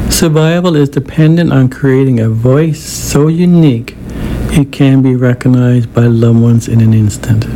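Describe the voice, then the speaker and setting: A man's voice: medium pitch, vocal fry. Brief: male host who stutters, talks openly and reflectively, audible background noise. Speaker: radio show host. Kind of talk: casual radio talk and poem reading.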